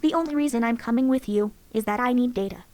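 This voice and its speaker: Game robot companion, female, cocky and sarcastic, cutting delivery, somewhat high voice, played by a woman. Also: fast talker, mellow, slight background noise, close to an everyday voice.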